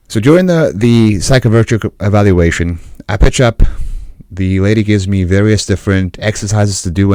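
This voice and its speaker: A man with a South African accent hosting a podcast, telling his own life story in an earnest, measured way with deliberate pauses. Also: low-pitched, a little mellow, dry recording. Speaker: podcast host. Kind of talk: solo personal storytelling monologue.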